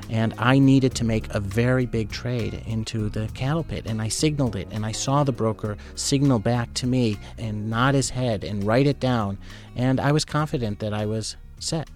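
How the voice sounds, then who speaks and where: A man's voice: on the low side, a little mellow. A man, a journalist and former trader, telling a personal story in a candid, conversational way.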